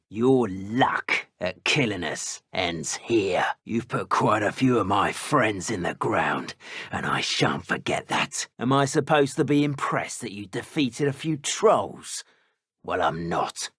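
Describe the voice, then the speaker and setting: Rough, swooping British dark wizard thug. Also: male voice, on the low side, dry recording, clean studio sound, exaggerated voice. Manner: taunting, threatening. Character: menacing male dark wizard poacher thug, taunting and ruthless. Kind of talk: video game combat voice lines.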